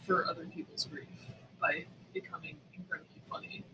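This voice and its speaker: High-pitched man chatting over noticeable noise. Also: steady tone, brisk. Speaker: podcast co-host. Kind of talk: casual conversational chat.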